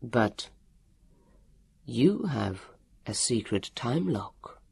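A man as a slow, calm, low-voiced interrogator. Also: vocal fry, slightly rough, no room sound, heavily acted. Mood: calm interrogation. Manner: calm, polite, measured, stilted formal wording. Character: calm, relentless, very strong male interrogator with gun. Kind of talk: audiobook character voice.

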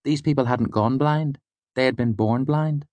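Man voicing a high-pitched, determined female student. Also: a little mellow, no room sound, natural voice. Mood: earnest, insistent. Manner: measured, explanatory. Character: clever, determined female student, insistent storyteller. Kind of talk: audiobook character dialogue.

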